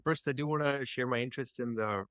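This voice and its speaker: Casting: Canadian-raised developer, mid-pitch, measured and plain, dry close sound. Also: male voice, a bit bright, no room sound. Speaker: guest, property developer. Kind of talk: casual video chat.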